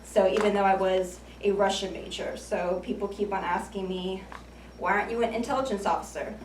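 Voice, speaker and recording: A woman's voice: medium pitch, steady tone, fairly quick, slight background noise. Joking young woman, echoey and distant. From United States. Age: 20s. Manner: self-deprecating, light joking asides. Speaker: icebreaker speaker at a speaking club. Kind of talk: prepared humorous speech. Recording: echoey room from far off.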